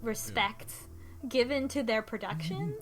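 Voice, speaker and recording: Woman, podcast co-host, casual game recap, mock quotes, music clearly behind. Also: fairly high pitch, animated, measured pace. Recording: music playing behind the talk.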